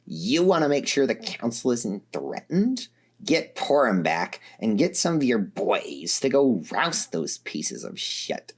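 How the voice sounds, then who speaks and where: A man voicing an angry, foul-mouthed young woman who growls her words slowly and low. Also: very animated, husky, exaggerated voice. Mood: growling.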